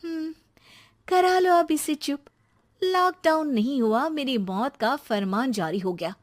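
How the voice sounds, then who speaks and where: A woman voicing a harried, sarcastic mother who nags and scolds loudly in a cartoon story. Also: a little slow. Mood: scolding. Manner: shrill, nagging, sarcastic.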